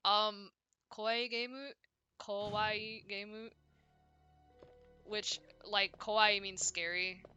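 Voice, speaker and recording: Bright, forceful young woman streaming games. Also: mid-range voice. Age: young adult. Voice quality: loud voice. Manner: rambling, self-interrupting, frequent "like". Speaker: video game streamer. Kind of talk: casual livestream chat while playing.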